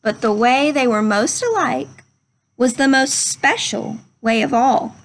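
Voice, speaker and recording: High-voiced young woman talking to children. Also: lively intonation, a little slow. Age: young adult. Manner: simple, instructive, addressing children. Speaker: read-aloud storyteller for children. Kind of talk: children's picture book read-aloud and chat to young viewers. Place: outdoors under a covered wooden pavilion.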